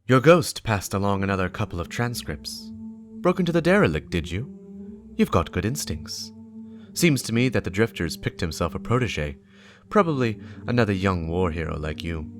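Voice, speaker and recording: A man's voice: low-pitched, very animated, moderate pace. A man performing a stern, driven investigator who dictates clipped, hard-boiled field reports in a dramatic reading of game lore. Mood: businesslike, brooding. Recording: music behind the voice.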